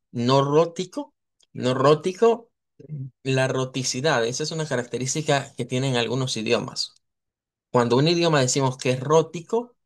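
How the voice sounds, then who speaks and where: A man, lecturing in a live online language class. His voice sits at an everyday middle pitch. He talks patiently, spells out sounds slowly and keeps asking his students whether they follow. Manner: explanatory, repeats and models sounds slowly; checks in with students, asks "verdad". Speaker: language teacher.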